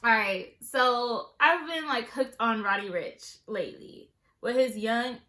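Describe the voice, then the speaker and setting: A woman's voice: fairly high pitch, unhurried, sharp tone, reverberant. A woman in her twenties from Southern California, chatting casually and sassily to her vlog viewers. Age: 20s. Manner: chatty, sassy, playful asides. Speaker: beauty vlogger. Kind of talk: casual chat.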